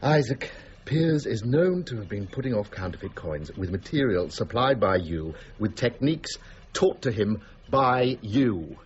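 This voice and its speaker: A formal gentleman, concerned and probing, speaks in a period radio drama. His mid-pitched voice is measured in wording yet animated in melody. The recording is dull with faint noise. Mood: grave concern.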